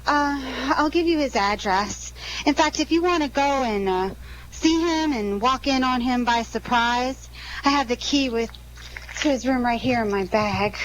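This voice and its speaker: Woman playing an anxious high-voiced radio damsel. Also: unhurried, highs cut off, a little hiss or hum, natural voice. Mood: worried, pleading. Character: anxious, pleading young female accomplice in trouble. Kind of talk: 1940s radio drama acting.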